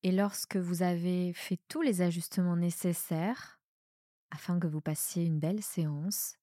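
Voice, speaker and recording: A woman with a soft voice guiding a relaxation meditation in a calm, soothing manner with measured pauses. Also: fairly low pitch, dry recording, studio quality. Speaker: yoga nidra guide. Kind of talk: guided meditation, relaxation instruction.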